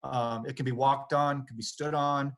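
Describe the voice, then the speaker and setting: A man working as an audio technician, explaining things on a training panel in a halting way, thinking aloud piece by piece. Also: mid-range voice, fairly even intonation, fairly bright tone.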